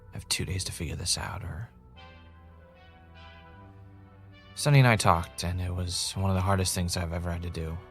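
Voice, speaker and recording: Audio drama lead: male actor as brooding, paranoid podcaster, very low register, introspective and confessional, light music bed. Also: fast, fairly bright tone. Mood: ominous, weary. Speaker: podcast host character and narrator. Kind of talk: audio drama monologue and dialogue.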